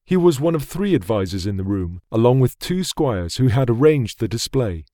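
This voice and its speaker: A man's voice: mid-range voice, sing-song, normal speed, mellow, dry recording, studio quality. Male narrator reading a fantasy audiobook.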